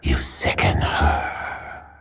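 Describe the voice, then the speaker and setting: An actor playing a cruel, taunting dark tempter in a fantasy game, insinuating and at times whispery. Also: male voice, drawn-out words, soft background music. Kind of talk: villain acting in fantasy game.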